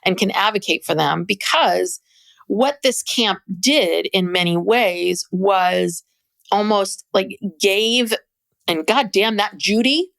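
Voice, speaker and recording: Animated woman podcast co-host, dry close sound. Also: medium pitch, slow, gravelly, no echo. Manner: animated, laughing, restarts. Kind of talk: casual podcast chat.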